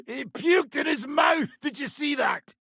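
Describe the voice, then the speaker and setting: An actor playing a coarse, combative medieval mercenary commander in a video game, with a gravelly, raspy voice and a blunt, profane, gruff manner. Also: male voice, very high-pitched, measured pace, a bit bright, dry recording, raised voice, studio quality, full character voice. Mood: mocking. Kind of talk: video game character acting.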